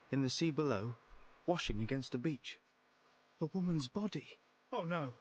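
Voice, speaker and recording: An actor playing a distressed man who narrates his own story slowly and theatrically in a radio drama. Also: medium pitch, husky, rough recording. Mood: horrified, anguished. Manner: slow, theatrical, dramatic first-person telling. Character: distressed man narrating a remembered dream and grim discovery.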